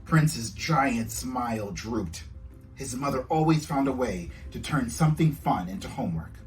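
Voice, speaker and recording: A man's voice: medium pitch, expressive, unhurried, far from the mic, soft background music, low-quality recording. A man, an author, reading his children's storybook aloud to young listeners.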